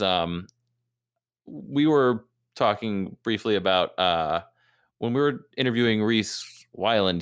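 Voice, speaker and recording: Low, lively-voiced male host. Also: clear, resonant tone, no room sound, studio quality. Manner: joking, prompting questions with fillers. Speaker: podcast host. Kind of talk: casual interview chat.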